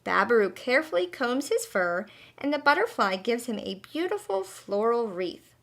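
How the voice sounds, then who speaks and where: A woman's voice: on the high side, very animated, moderate pace, a bit bright. A woman narrating a children's story expressively, speaking directly to young listeners as a storyteller. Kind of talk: animated scripted story narration.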